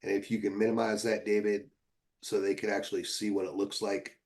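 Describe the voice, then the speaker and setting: A man giving sales advice on a training call in a measured, explanatory way. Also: fairly low pitch, flat delivery, a little mellow.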